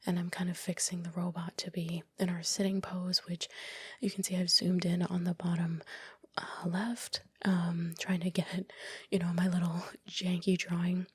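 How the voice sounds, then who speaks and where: A woman whispers a casual, rambling, self-critical ASMR commentary on her own work in a breathy, unvoiced voice, close to the microphone. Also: lowish voice, level tone, normal speed, a little mellow, no echo. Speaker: ASMR video creator narrating own work. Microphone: close microphone, dry voice-over.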